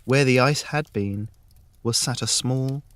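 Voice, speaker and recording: A man narrating a scripted fairy tale in the first person, measured and with dramatic pauses. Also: medium pitch. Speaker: story narrator.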